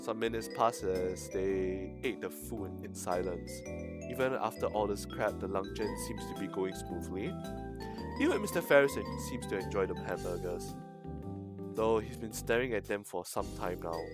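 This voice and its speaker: A man's voice: lowish voice, moderate pace, over music. A man streaming, reading a visual novel aloud with dramatic narration and his own commentary.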